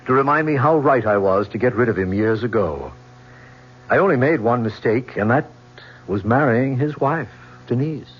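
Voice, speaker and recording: An actor playing a ruthless, domineering middle-aged business tycoon in an old radio drama, speaking in a cool, commanding way. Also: male voice, mid-range voice, moderate pace, breathy edge, close to an everyday voice. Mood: scornful. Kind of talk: 1970s radio drama acting. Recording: dull, noisy old radio broadcast.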